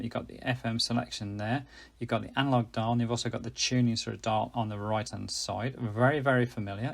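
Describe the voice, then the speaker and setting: A man's voice: mid-range voice, gravelly. A British man giving a casual, unscripted product review as host, chatty and rambling, often correcting himself. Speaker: host reviewing budget radios.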